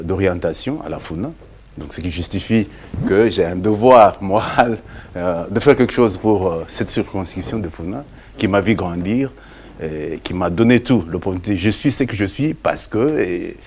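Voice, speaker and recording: Deep-voiced Congolese man, halting interview guest. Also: a little mellow, a little hiss or hum. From Democratic Republic of the Congo. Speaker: interview guest, parliamentary candidate. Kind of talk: explanatory interview talk.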